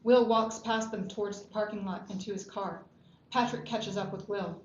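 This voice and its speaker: A woman's voice: medium pitch, steady tone, moderate pace. A woman narrator at a table read, reading scripted stage directions. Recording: dull, echoey room with background noise.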